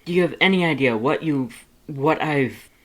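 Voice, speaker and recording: A man's voice: on the high side, moderate pace, voice pushed up, close to an everyday voice, rough recording. A man voicing a lazy, gloomy, guarded male skeleton with a casual, mumbling, growling delivery. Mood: angry, accusing.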